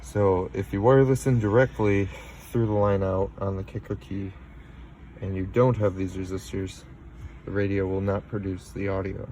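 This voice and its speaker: Low-pitched man giving a casual how-to. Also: little pitch movement, moderate pace, mellow, a little hiss or hum. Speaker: car audio installer showing how-to. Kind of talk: casual hands-on tutorial talk.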